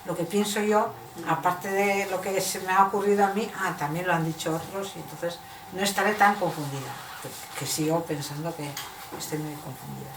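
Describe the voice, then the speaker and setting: Low-pitched woman in an echoey room. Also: steady tone, normal speed. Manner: hesitant, self-correcting, searching for words. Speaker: poet presenting and reading own work. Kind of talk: informal book presentation and poetry reading. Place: bookshop event. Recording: echoey room, distant noisy mic.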